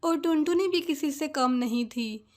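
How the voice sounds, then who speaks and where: A woman's voice: high voice, unhurried. A woman narrating a scripted children's cartoon story.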